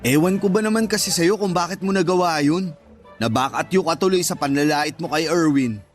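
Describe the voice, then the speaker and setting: A male actor plays a frank young best friend with a high-pitched voice. He teases and scolds bluntly in radio-drama style, with music faintly underneath. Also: fairly quick, natural voice. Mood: scolding. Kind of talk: Tagalog radio drama acting.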